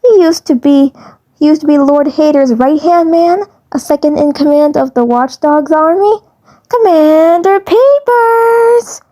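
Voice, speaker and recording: A woman voicing a bubbly, excitable cartoon girl who gushes like a show announcer in an amateur fandub. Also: high voice, measured pace, voice pushed up. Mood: showy, excited. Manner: gushing showy announcer delivery.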